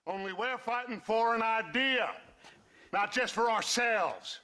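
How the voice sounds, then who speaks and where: Slow, high-strung grandiose outlaw leader. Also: male voice, pushed and bright, heavily acted. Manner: theatrical, taunting, oratorical. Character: menacing, grandiose male Western outlaw gang leader. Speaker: actor in a video game cutscene.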